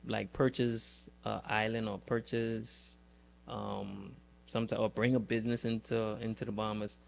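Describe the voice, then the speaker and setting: A man hosting a casual interview, halting and repeating words as he works out his questions. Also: on the low side, level tone, vocal fry, a little hiss or hum. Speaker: podcast host.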